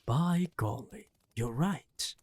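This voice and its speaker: A reader voicing a fierce, spirited old grandmother in an audiobook, talking briskly and impatiently. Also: medium pitch, animated, a little mellow, no room sound. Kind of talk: audiobook character reading.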